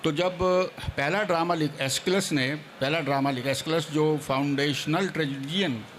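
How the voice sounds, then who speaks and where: Panelist, male. Slightly high pitch, formal lecturing delivery with English terms, faint background noise. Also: normal speed, a bit bright. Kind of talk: formal panel discussion talk.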